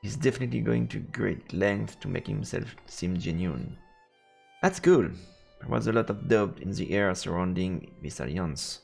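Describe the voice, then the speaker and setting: A man's voice: low-pitched, normal speed, vocal fry, slightly rough, faint music behind. A man streaming a visual novel, reading the narration aloud and adding casual reactions.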